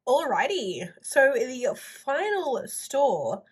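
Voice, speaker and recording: A woman's voice: sits high, sing-song, very slow, husky, rough recording. Stuffy nosed woman chatting about her planner stuff, kinda nasal, rambly, lots of like. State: stuffy nose, sniffly. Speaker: planner vlogger. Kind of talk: casual haul video chat.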